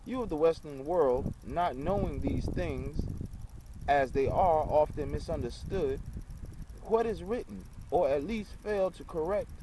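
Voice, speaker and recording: A man reading the part of a kindly, earnest Syrian guest in a storybook, explaining things gently. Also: on the high side, measured pace, background noise, pitched above the performer's own voice, close to an everyday voice.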